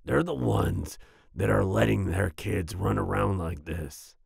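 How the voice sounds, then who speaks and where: Very gravelly, grumpy werewolf boyfriend. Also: male voice, deep voice, dry recording, studio quality, exaggerated voice. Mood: angry, frustrated. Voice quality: gravelly, growling, husky. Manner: grumbling, slow, with grunts and growls. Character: big grumpy werewolf boyfriend, gruff but secretly soft. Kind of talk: ASMR boyfriend roleplay.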